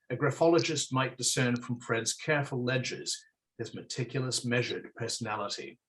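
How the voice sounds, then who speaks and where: A man's voice: mid-range voice, fairly bright tone, rough recording. Man reading aloud from his memoir. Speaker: author reading from own book.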